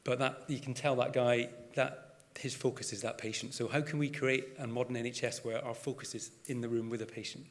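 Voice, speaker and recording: A man's voice: medium pitch, steady tone, fairly quick, few pauses. A Scottish man, a GP, giving a conversational conference talk with frequent restarts and fillers. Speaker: GP and conference speaker. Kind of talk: conference presentation. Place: large conference hall. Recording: reverberant conference hall.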